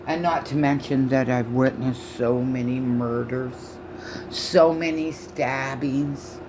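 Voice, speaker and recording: A woman in her sixties from Canada ranting loudly and profanely to camera on her own channel, her speech halting from false teeth. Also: rumbling low, fairly even intonation, background noise. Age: 60s. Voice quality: loud voice. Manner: shouting, profane, accusatory. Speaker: vlogger. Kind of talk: angry rant to camera.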